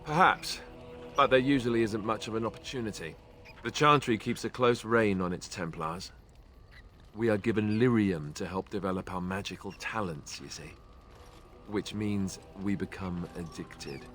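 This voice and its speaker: An actor playing a wry, self-deprecating warrior in a video game, explaining things with dry sarcastic asides. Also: male voice, lowish voice, moderate pace, a bit bright, natural voice. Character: wry young male ex-Templar warrior, sardonic, self-deprecating. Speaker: former Templar. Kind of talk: video game character dialogue. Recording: prominent music behind the voice.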